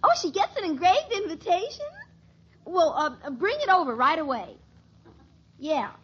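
A woman playing an excitable, headstrong young tomboy girl in a 1950s radio sitcom, exuberant and a little bratty. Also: very high-pitched, measured pace, full character voice. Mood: eager, scheming. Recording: muffled old 1950s radio recording.

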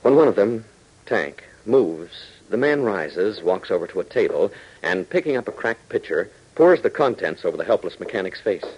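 A man's voice: on the low side, sing-song, normal speed, darkish tone, breathy edge, lo-fi sound. An American man narrating emphatically and dramatically as an announcer in 1940s radio style. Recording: old 1940s radio broadcast recording.

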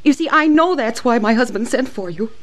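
A woman's voice: on the high side, expressive, brisk, lightly acted. An actress playing a timid, anxious rancher's wife in a 1960s western radio drama, hesitant and nervous as she speaks. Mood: anxious pleading.

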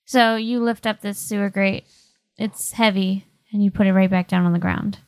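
A woman's voice: medium pitch, fairly even intonation, moderate pace, darkish tone, clean tone. A woman narrating a tabletop role-playing game as game master.

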